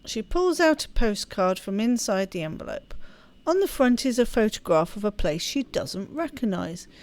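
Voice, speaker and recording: A woman's voice: a little high, expressive, moderate pace, a little mellow. A woman hosting a gaming video, chatting casually and reading story text aloud with expression. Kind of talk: let's play chat and expressive read-aloud narration.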